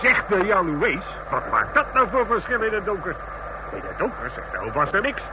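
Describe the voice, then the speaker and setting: Hoge, uitbundige treinforens. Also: male voice, high voice, sing-song, normal speed. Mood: jovial. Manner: boisterous, teasing, exclamatory. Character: brash, jovial male commuter, joke-telling train regular. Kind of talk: old Dutch radio play acting, colloquial banter. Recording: noisy old radio play, train ambience.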